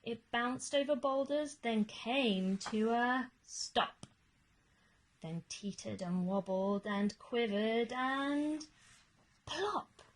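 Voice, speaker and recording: A woman teacher reading a rhyming picture book aloud to children with measured, expressive, dramatic emphasis. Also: on the high side, rough recording. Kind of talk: expressive children's storytime narration of rhyming verse.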